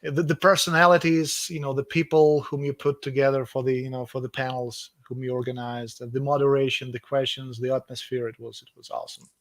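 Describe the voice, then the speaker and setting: A man's voice: medium pitch, level tone, fairly quick, few pauses, no echo. A man from Russia with a Russian accent, speaking as an author guest in a casual video call interview, enthusiastic and often repeating words as he searches for phrasing.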